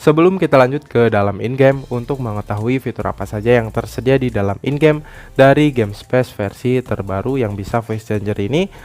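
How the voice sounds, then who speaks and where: A man's voice: medium pitch, brisk, a little mellow. A man narrates an app tutorial in an explanatory way, often tagging his sentences with a short "ya". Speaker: tech tutorial YouTuber. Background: background music.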